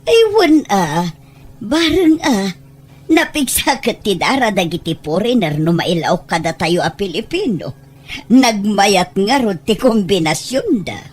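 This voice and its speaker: A woman's voice: mid-range voice, expressive, a little slow, slightly rough, soft background music. A woman with a Filipino accent playing a chatty, inquisitive village neighbor in an Ilocano audio drama, full of exclamations. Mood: admiring, teasing.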